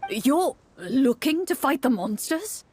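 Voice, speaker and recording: A woman voicing a stern, suspicious male guard captain in a video game, with a gruff, commanding, challenging manner. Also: sits high, sing-song, slow pace, darkish tone, no echo, voice pushed up, full character voice. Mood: grudging, grave. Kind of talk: video game tabletop roleplay acting.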